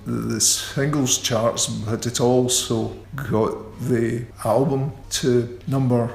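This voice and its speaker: A middle-aged man guesting in a radio interview, talking in an unhurried, self-deprecating and wry way. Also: medium pitch, steady tone, vocal fry. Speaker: guitarist guest. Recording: roomy echo.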